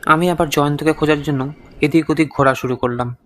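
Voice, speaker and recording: A man narrating a scripted horror story in the first person, with a suspenseful, expressive, dramatic delivery. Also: a little high, quick pace, heavy background noise.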